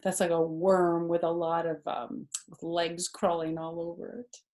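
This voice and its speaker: A middle-aged woman doing a read-aloud storytime for children, explaining things simply in a deliberate way with chatty asides, recorded in a living room. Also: lowish voice, little pitch movement, a little mellow. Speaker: storyteller reading picture books to children. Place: small furnished living room, indoors.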